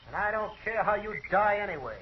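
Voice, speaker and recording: High-voiced, bitter cornered outlaw. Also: male voice, expressive, fairly quick. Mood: desperate defiance. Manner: sneering, defiant. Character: bitter, defiant cornered western outlaw gunman. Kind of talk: old-time radio western acting. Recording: dull, noisy vintage radio recording.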